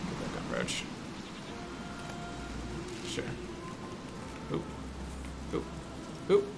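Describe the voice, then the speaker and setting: A man's voice: drawn-out words, noisy background. An American man from the Midwest hosting casual game commentary, rambling and explanatory with an enthusiastic manner. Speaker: show host, 3D artist.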